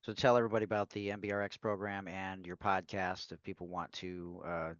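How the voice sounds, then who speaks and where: A man's voice: low-pitched, little pitch movement, a little fast, a bit bright, no echo. A man hosting a podcast who leads the interview with brief, upbeat prompts and affirmations. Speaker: podcast host. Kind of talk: casual interview chat. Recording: dull, lo-fi sound.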